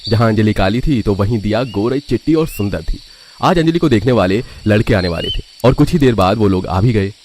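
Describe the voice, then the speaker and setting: A man's voice: lowish voice, expressive, brisk, a little mellow, a little hiss or hum. A man narrating a scripted cartoon family story.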